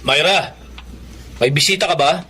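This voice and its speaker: A Filipino actor playing a sneering, opportunistic young son in a Tagalog radio drama, nosy and mocking. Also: male voice, on the high side, moderate pace, pushed and bright, a little hiss or hum. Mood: curious, teasing.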